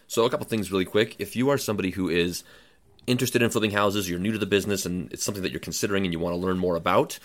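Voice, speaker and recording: A man hosting a podcast, giving a brisk, promotional introduction to the episode. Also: low-pitched. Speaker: podcast host.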